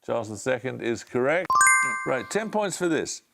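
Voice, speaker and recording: Gravelly quiz host with a lively delivery. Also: male voice, medium pitch, loud music behind the voice. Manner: brisk, authoritative question reading. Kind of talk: reading quiz questions.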